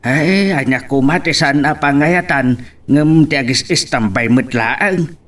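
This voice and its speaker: A man's voice: a little high, unhurried, fairly bright tone, distant mic. A man playing an elderly, concerned village father in an Ilocano audio drama. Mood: matter-of-fact. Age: older. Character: elderly village father, plain-spoken, concerned for his child. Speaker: actor in radio-style drama.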